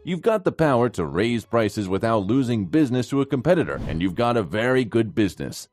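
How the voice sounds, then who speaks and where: A man's voice: mid-range voice, big pitch swings, a little fast, darkish tone. A man reading scripted narration as the narrator of a finance explainer video. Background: background music.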